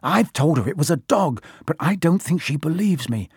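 A man's voice: a little high, expressive, normal speed, a little mellow, slightly rough, no room sound, clean studio sound, voice pushed up, exaggerated voice. A man voicing an agitated, anxious schoolboy in a storybook reading. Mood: fretful. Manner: anxious, fretful.

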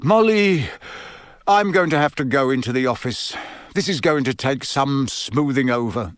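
A man voicing a weary, measured middle-aged father who works as a civil servant, in a storybook reading. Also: mid-range voice, lively intonation, no echo, close to an everyday voice. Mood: weary exasperation.

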